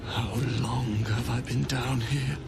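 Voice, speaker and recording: Casting: despairing vampire captive, fantasy game. Mid-pitched, gravelly, halting, weakened. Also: male voice, fairly even intonation, music in the background. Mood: grief, accusation. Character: despairing, broken male vampire spawn prisoner, long captive. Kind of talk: cinematic fantasy game acting.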